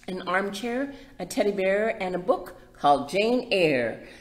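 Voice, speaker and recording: Woman voicing an excited little woodpecker, very animated. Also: medium pitch, measured pace. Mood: busy, gleeful. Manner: singsong, exaggerated, bouncy. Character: excited little young woodpecker, eager and playful. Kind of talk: animated picture-book read-aloud for small children. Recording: echoey room with background noise.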